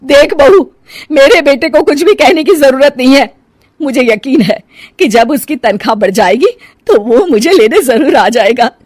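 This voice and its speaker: A woman's voice: high voice, expressive, moderate pace, a little mellow, no room sound, clean studio sound, pitched above the performer's own voice. A woman voicing an older, emotional mother-in-law in a cartoon story, scolding and plaintive by turns. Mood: stubborn, scolding. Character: older stubborn, emotional mother-in-law pining for her son. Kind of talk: animated cartoon story dialogue.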